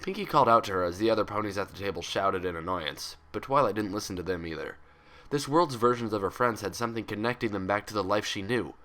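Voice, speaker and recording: Male audiobook-style narrator, low pitch, sharp bright tone, expressive scripted delivery. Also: fast. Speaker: fan fiction reader.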